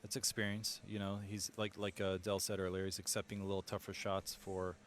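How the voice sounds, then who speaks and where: A man with a low voice calls a pool match and breaks down each shot choice for viewers. His delivery is steady and analytical. Faint venue ambience hums behind him. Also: brisk, slight background noise. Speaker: pool commentator. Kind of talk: live sports commentary.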